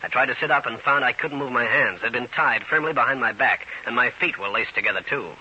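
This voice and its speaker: An American actor playing a tough, brooding New York detective in an old-time radio drama, terse and world-weary. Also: male voice, mid-range voice, fast talker, sharp tone. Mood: brooding narration. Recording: dull, hissy old radio recording.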